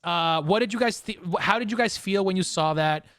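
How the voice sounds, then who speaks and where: A man hosting a casual pop culture review podcast, talking in an excitable, slangy fan style. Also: sits high, brisk, no room sound. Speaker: podcast host.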